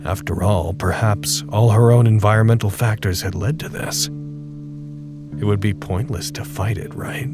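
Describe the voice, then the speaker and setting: A man narrating a literary drama podcast as a solemn, reflective storyteller, speaking in a deliberate way. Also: lowish voice, vocal fry. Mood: quietly hopeful. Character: solemn, reflective male storyteller of a bleak tale. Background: music with guitar.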